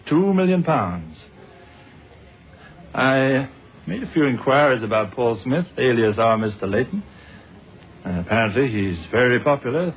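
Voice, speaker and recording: A man's voice: mid-range voice, close to an everyday voice. An actor playing a breezy, evasive British businessman in an old radio drama, speaking with cool understatement. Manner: casual, coolly understated, old-boy turns of phrase. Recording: tinny, noisy old radio broadcast.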